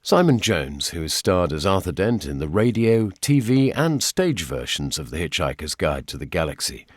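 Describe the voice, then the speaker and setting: A man's voice: low-pitched, big pitch swings, moderate pace, no room sound. A man with a British accent presenting a scripted radio documentary in a wry, dryly humorous way. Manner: wry, dry humour.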